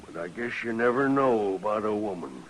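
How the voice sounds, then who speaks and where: An actor playing an evasive frontier rancher in a 1950s radio western, American, with a halting, folksy, defensive way of talking. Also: male voice, lowish voice, animated, close to an everyday voice. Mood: feigned dismay. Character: evasive, plain-spoken frontier rancher feigning innocence. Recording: noisy old radio recording.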